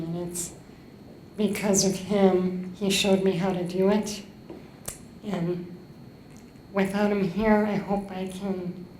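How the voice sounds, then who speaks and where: Middle-aged woman, low even mellow voice. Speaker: eulogist at a memorial, sister of the deceased. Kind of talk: personal eulogy with anecdotes. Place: indoor hall. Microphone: microphone on a boom stand. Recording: roomy echo, clear background noise.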